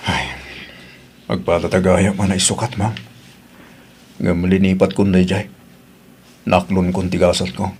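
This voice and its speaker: A man's voice: low voice, level tone, unhurried, creaky, long pauses, darkish tone. A man playing a humble, bashful father in an audio drama, speaking hesitantly with a Filipino accent. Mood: shy, reluctant. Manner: shy, hesitant. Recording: faint background noise.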